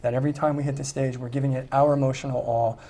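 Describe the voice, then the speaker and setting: A man's voice: mid-range voice, level tone, racing, dark tone. Guy in a tribute band, earnest and thoughtful, regular mid voice, easy talking. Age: adult with children. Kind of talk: interview conversation.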